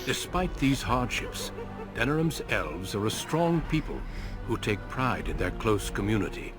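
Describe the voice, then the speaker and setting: An actor narrating as a solemn storyteller in a scripted fantasy video game introduction. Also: male voice, on the low side, big pitch swings, a little slow, over music.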